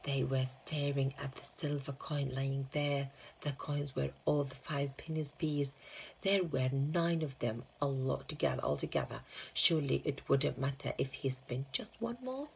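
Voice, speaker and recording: A woman's voice: very deep voice, unhurried, creaky, a little mellow, slightly rough, low-quality recording. A woman reading a children's storybook aloud as narration. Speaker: teaching assistant reading aloud.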